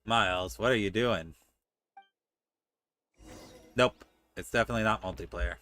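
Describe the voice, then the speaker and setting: A man's voice: on the low side, a little slow, bright, forceful tone. A man chatting casually as he streams a video game and answers his chat. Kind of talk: casual game livestream chat, reading dialogue aloud.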